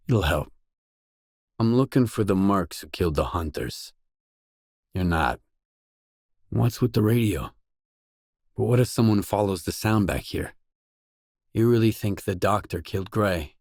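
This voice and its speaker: Casting: a guarded male game stalker, low register, unhurried delivery, terse and determined. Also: darkish tone, husky, dry recording, studio quality, heavily acted. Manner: terse, probing, matter-of-fact. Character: guarded, determined male stalker mercenary in post-apocalyptic zone. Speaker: video game voice actor. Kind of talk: isolated video game dialogue lines.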